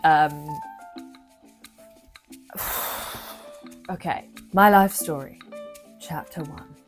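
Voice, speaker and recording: A young woman, vlogging earnestly into her phone with a low-energy voice, confiding and emotional. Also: drawn-out words, faint music behind. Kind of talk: personal vlog talking to camera.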